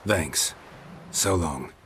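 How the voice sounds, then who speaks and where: A man playing a stoic, gruff monster hunter in a video game, with a gravelly voice and a terse, deadpan delivery. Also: rumbling low, very slow, heavily acted. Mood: calm, dry. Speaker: game protagonist. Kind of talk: video game dialogue acting. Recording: game audio over ambient noise.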